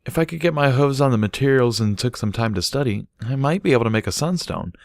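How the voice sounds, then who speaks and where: A man's voice: medium pitch, animated, quick pace, darkish tone, no echo, clean studio sound, voice pushed up, lightly acted. A man voicing an earnest, thoughtful male unicorn who explains things, in a storybook reading.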